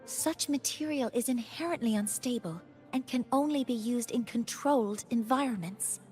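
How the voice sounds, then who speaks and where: Brief: a woman as a careful female professor, slightly high voice, faint music under. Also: darkish tone, close to an everyday voice. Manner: measured, formal.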